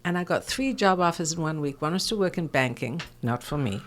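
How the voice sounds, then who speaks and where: A woman's voice: deep voice, animated, brisk. A woman in her sixties with an English South African accent, telling personal stories conversationally as an interview guest in a theatrical way. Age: 60s. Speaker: interview guest, fragrance expert.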